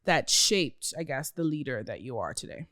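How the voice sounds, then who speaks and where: A woman's voice: rumbling low, sing-song, normal speed, a bit bright, no room sound, studio quality. A woman hosting a podcast, asking questions in a casual, conversational interview style.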